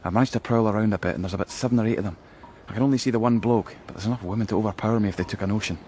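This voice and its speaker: A man's voice: lowish voice, racing, darkish tone, slight background noise, natural voice. An actor playing a wry, urgent undercover policeman in a radio drama, talking colloquially. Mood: wry, furtive. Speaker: police officer.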